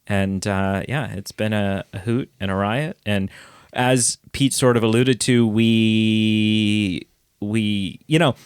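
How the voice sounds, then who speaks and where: A man with a smooth, resonant voice that sits a little low, talking in loose, rambling podcast chat. He restarts and circles his thoughts easily. The sound is close and dry. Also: steady tone, slow pace, no echo. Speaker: podcast co-host. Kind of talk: casual chat.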